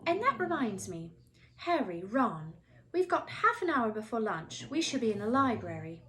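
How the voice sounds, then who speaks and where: A woman voicing an earnest, bossy, bookish young schoolgirl in a storybook, speaking briskly and precisely. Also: on the high side, big pitch swings, a bit bright.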